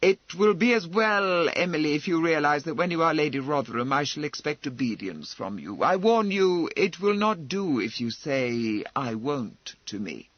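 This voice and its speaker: A man's voice: fairly high pitch, big pitch swings, normal speed, hardly any pauses, dry recording, muffled recording. Man playing a curt, commanding English novel lord. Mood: stern, commanding. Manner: curt, matter-of-fact, final. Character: cold, commanding, unbending aristocratic lord.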